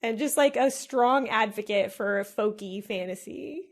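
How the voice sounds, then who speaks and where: A woman co-hosting a podcast, musing in an animated casual chat full of "like". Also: on the high side, a little slow, vocal fry. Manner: animated, musing, heavy use of "like". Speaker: podcast co-host.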